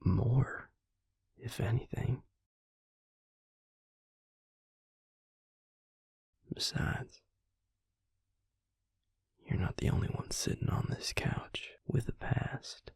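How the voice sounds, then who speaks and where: A man playing a tender, regretful male vampire in an intimate audio roleplay, confiding haltingly in a soft, breathy, gravelly whisper. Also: medium pitch, no echo, heavily acted. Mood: confiding, solemn.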